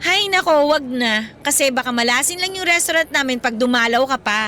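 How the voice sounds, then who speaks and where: Bright, high Filipina schoolgirl played by a woman. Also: lively intonation, brisk, soft background music. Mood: flustered. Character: playful, defensive teenage schoolgirl pretending to be rich. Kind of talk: Filipino radio drama dialogue.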